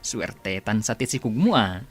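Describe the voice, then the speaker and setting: A man's voice: lowish voice, very animated, unhurried, faint music behind. An actor with a Filipino accent playing an earnest, hardworking married man in a radio drama. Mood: tender, hopeful.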